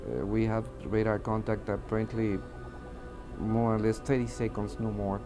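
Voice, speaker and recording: A man's voice: fairly low pitch, normal speed. A man with a Mexican Spanish accent, a native Spanish speaker, giving interview testimony as an air traffic controller, hesitantly searching for words. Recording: music under the voice. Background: background music.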